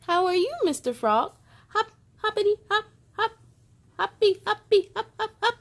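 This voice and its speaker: A woman voicing a cheerful, excited little boy who plays at being a frog, bouncy and exclaiming, for a storybook. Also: extremely high pitch, normal speed, voice pushed up. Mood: playful, excited.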